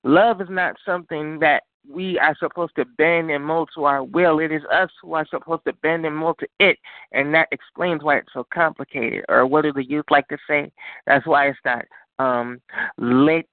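A man's voice: fairly high pitch, fairly even intonation, normal speed, no room sound. A man calling in to recite a spoken word poem with rhythmic wordplay, heard over a phone line. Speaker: call-in poet. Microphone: phone call.

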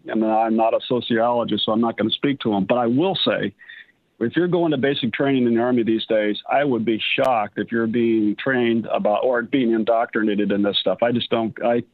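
A man's voice: medium pitch, no echo. An American man in his sixties, a retired general, giving earnest, hedging conversational answers as an interview guest. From United States, raised on military bases. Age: 60s. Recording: slightly muffled, lo-fi radio line.

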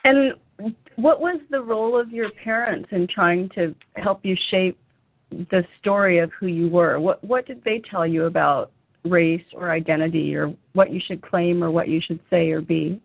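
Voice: mid-range voice, moderate pace, vocal fry, no echo. A podcast host asking questions in a casual interview and sharing personal stories. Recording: phone-like remote call.

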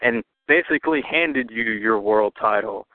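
A man's voice: lowish voice, measured pace, no echo. A man cutting a boastful, defiant wrestling promo as a challenger, talking over his rivals. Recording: tinny phone line.

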